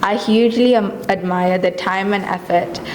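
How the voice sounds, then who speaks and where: A woman reading prepared messages aloud at a launch event, in a measured, formal way. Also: medium pitch, fairly even intonation, darkish tone, echoey room, slight background noise, low-quality recording.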